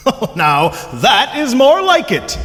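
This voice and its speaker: An actor playing a jolly, boastful, troll-like night spirit in a staged musical reading, theatrical and playful in both speech and song. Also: male voice, very high-pitched, wildly swooping pitch, takes it slowly, heavily acted. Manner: theatrical, playful, grandiose phrasing. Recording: live school stage, long reverb, loud music.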